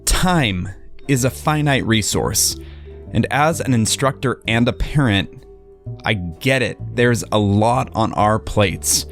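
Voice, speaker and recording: A man reading a scripted sponsor ad in a measured delivery. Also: lowish voice, expressive, soft background music. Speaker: ad announcer. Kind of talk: read sponsor ad.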